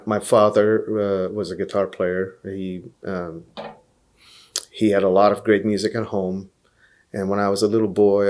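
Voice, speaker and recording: A man's voice: lowish voice, darkish tone. A man from Hungary with a Hungarian accent, telling his story as a podcast guest in an earnest way, often repeating words as he thinks. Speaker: podcast guest, blues musician. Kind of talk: relaxed interview storytelling.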